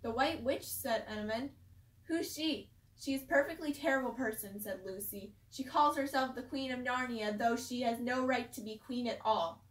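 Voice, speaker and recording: A woman reading a children's storybook aloud slowly and carefully, as a teacher at a school. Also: a little high. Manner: slow, careful read-aloud. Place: school room. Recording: echoey room, voice far from the mic.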